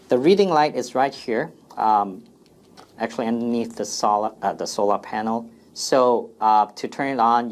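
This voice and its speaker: A man presenting an informal product FAQ demonstration in a plain, step-by-step instructional way. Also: medium pitch.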